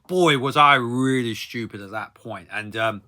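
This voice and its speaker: A man's voice: mid-range voice, a little slow, sharp tone. A man in his thirties telling a casual, rambling personal story as a vlogger, hesitant and repetitive, often tailing off into tag phrases.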